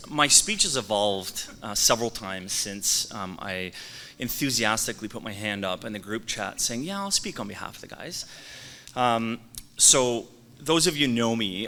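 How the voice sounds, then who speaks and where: A man in his forties from Canada gives a hall of fame speech with a bright, forceful voice. He teases his former teammates in a joking way and fills his pauses with lots of ums. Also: lowish voice. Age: 40s. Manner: joking, teasing, lots of um fillers. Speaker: former player giving an induction speech.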